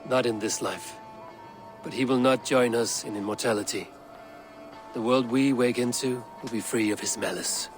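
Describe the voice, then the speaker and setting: A man acts out a proud Norse warrior in a game cutscene. He speaks gravely and deliberately, at a medium pitch, with music playing behind him. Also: fairly even intonation, close to an everyday voice. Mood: resolute. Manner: measured, solemn, deliberate. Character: grave, proud male Norse warrior recounting godly visions. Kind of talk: dramatic video game cutscene acting.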